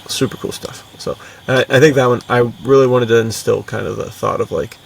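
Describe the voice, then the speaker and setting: A man's voice: mid-range voice, fairly quick, creaky, breathy edge. A man chatting casually as a podcast co-host, rambling and enthusiastic, with plenty of slang. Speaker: podcast co-host and fiction writer. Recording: distant mic with faint background noise.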